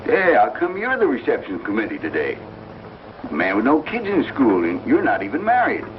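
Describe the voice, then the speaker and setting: Wry frontier townsman, hugely animated pitch, teasing, dull vintage soundtrack. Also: fairly quick, a little hiss or hum. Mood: wry curiosity. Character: wry, folksy mining-town local man. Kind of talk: 1950s TV western acting. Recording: muffled old TV soundtrack, music.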